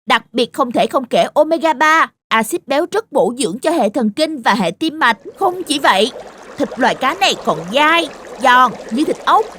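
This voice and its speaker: A woman's voice: sits high, moderate pace, slight background noise. A woman voicing a knowledgeable, helpful young friend who explains things in a children's cartoon. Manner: instructive, expressive.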